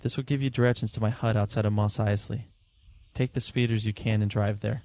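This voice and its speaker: A man's voice: lowish voice, fairly even intonation, fairly quick, a little mellow, lightly acted. A man playing a righteous, headstrong police detective in an audio drama, curt and principled. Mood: brisk, determined.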